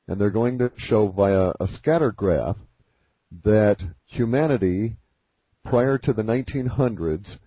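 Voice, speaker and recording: A man with a fairly low, somewhat rough voice lays out his forecasts in formal, technical language. He speaks deliberately, like someone dictating statements. The sound is dull and dry, as on a conference call. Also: dry recording. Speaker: interview guest presenting his forecasts. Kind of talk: measured conference-call exposition. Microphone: conference call line.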